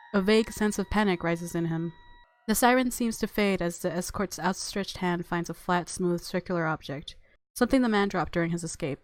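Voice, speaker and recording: A woman reading visual novel narration aloud as a let's player, with casual, playful reactions. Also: lowish voice. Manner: playful, giggly commentary. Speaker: let's play YouTuber. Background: game music.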